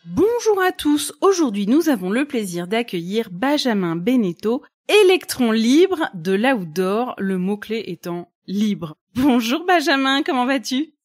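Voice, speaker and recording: A woman hosting a podcast, reading a scripted intro and asking interview questions in a clear, measured, polished way. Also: a little high, sing-song, a little mellow, soft background music. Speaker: podcast host.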